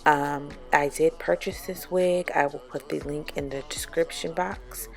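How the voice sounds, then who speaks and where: A young woman talking through a wig review and bleaching tutorial step by step, her voice raspy as she gets over being sick. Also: low-pitched, measured pace, soft background music. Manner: steady explaining, step-by-step with fillers. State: raspy from recovering illness. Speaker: beauty vlogger.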